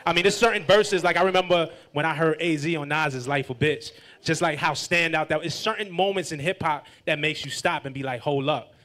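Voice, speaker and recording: A man's voice: fairly high pitch, brisk, hardly any pauses, fairly bright tone. An American man casually hosting a hip-hop interview, talking in an emphatic, hyped way and repeating himself for effect. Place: live event before an audience.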